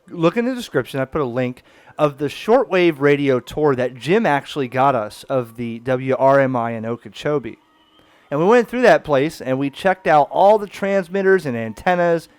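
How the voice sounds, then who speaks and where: A man's voice: on the high side, clean tone, no echo. Man musing at his radio desk. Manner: relaxed, musing, wistful. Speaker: ham radio channel host. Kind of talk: casual video commentary.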